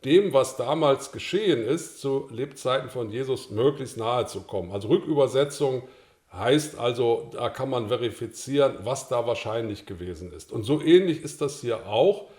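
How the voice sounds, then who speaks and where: An older German-speaking man talks through a screencast lesson at his desk, calm and explanatory, in a mid-range voice. The small room around his webcam adds a noticeable echo. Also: mid-range voice, moderate pace. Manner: calm, explanatory, with occasional filler sounds. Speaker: course instructor. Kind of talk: screencast tutorial.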